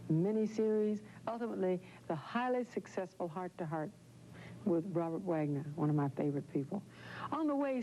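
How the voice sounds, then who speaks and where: A woman hosting a talk show, chatting with a guest in an eager, effusive way, often chiming in. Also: mid-range voice. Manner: warm and effusive, quick to chime in. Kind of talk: interview chat. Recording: dull lo-fi 1990 TV audio, faint hum.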